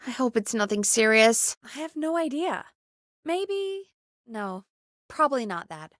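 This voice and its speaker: A woman's voice: fairly high pitch, big pitch swings, measured pace, a bit bright, no room sound, clean studio sound. A woman playing a frank, anxious but caring radio producer in a video game, talking in an informal, emphatic, exasperated way. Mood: businesslike. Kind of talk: conversational video game voice acting.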